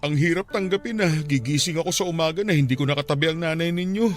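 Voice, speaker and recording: A man's voice: on the high side, fast talker, faint music behind, close to an everyday voice. An actor playing a heartbroken widowed father in a radio drama, talking tearfully, his voice breaking with grief. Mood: sorrowful. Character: grieving widowed father, middle-aged, heartbroken and tender.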